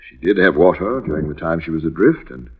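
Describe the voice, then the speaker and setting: An actor playing a gentle, protective, courteous doctor in an old radio drama, formal and solicitous. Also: male voice, extremely low pitch, animated, quick pace. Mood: thoughtful. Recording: dull noisy vintage radio recording.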